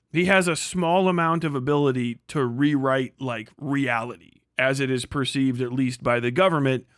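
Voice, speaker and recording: A man's voice: medium pitch, a bit bright, dry recording, studio quality. A man hosting a livestream with casual commentary, blunt, sweary and rambling in the way he talks. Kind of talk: casual livestream commentary, reading and reacting to posts.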